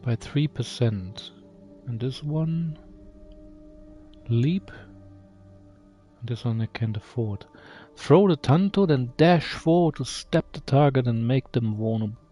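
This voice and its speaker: A man's voice: mid-range voice, lively intonation, slow, long pauses, mellow, soft background music. A man giving casual gameplay commentary, musing aloud, reading menu text and asking himself questions. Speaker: video game player and channel host.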